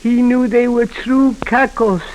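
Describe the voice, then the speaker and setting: A man's voice: extremely high pitch, steady tone, a little slow, low-quality recording. A man playing a superstitious, awestruck islander, insistent and frightened, in 1940s radio drama style. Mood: awed, earnest. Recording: hissy 1940s radio broadcast recording.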